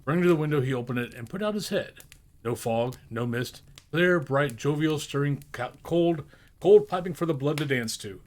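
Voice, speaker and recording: A man's voice: fairly high pitch, animated, moderate pace, darkish tone. A man reading a classic story aloud as narrator, theatrical and emphatic, now and then stumbling over a word. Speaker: audiobook narrator.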